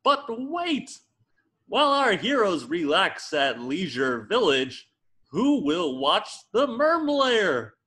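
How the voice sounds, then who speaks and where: A man's voice: sits high, sing-song, slow pace, pushed and bright. A man narrating as a theatrical cartoon announcer in a script reading.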